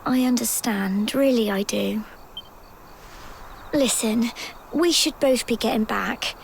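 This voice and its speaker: An actress playing a gentle, wistful young village girl in a video game, earnest and soft-hearted, with an English accent. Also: a little high, slow pace, vocal fry, slight background noise. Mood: sad, accepting.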